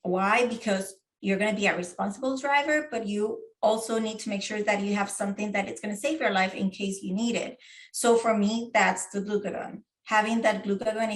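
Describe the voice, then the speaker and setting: A woman's voice: medium pitch, poor audio. Earnest woman, middle-aged. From Puerto Rico. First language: Spanish. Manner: earnest, speaks with many fillers and restarts. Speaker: guest, diabetes advocate and parent. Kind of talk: casual interview.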